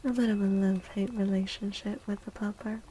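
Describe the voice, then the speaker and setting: A woman livestreamer chatting in a soft, breathy ASMR style, gentle and unhurried, often dropping into whispers close to the microphone. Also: mid-range voice, fairly even intonation, slight background noise.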